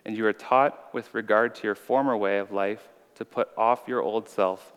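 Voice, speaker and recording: A man's voice: fairly low pitch, steady tone, moderate pace, a bit bright, clean tone. A man giving an earnest, conversational sermon as an informal preacher with a slight stutter. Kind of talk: conversational sermon with personal testimony. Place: large reverberant room. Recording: reverberant hall.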